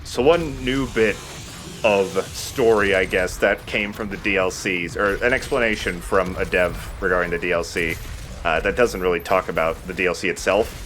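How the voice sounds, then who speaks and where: A man's voice: sits low, moderate pace, fairly bright tone, soft background music. A man hosting a let's play, giving casual commentary on a video game.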